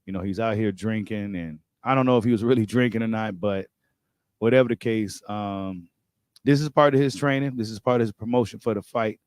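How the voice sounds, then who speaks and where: Low-voiced American man hosting a casual livestream. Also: a little fast, smooth, no room sound, clean studio sound. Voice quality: voice getting slightly worn. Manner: informal slangy delivery, repeating phrases for emphasis. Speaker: host of a boxing talk livestream. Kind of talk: casual livestream chat and commentary.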